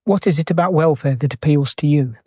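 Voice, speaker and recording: Mellow guy interviewer, polite steady questions, dry sound. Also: a little high, a little fast, husky, no echo.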